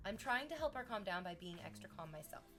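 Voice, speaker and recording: Relaxed, chatty woman livestreaming, drifting between talk with her viewers and sweet baby talk to her dog. Her voice sits at an ordinary middle pitch. Soft music plays low behind her. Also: fast. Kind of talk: casual livestream chat.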